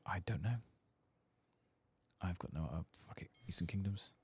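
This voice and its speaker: A man softly narrating his video game in ASMR style, tired and casual, muttering to himself and drifting into whispers. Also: sits low, moderate pace, breathy edge. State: tired, late at night. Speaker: host of a game playthrough. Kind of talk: soft-spoken ASMR gameplay commentary. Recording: faint background noise.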